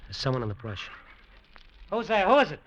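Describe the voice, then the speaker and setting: An actor playing a tough, suspicious, hot-tempered resort builder in an old radio drama. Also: male voice, fairly high pitch, huge pitch swings, brisk, fairly bright tone, slight background noise. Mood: angry. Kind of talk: 1940s-50s radio drama acting, first-person narration. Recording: muffled vintage radio recording.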